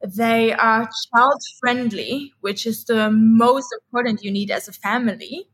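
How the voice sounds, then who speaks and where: A woman's voice: a little high, measured pace, fairly bright tone, poor audio. A woman in her thirties whose first language is Dutch, chatting as an interview guest in a rambling way, full of "like" fillers. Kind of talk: casual interview chat.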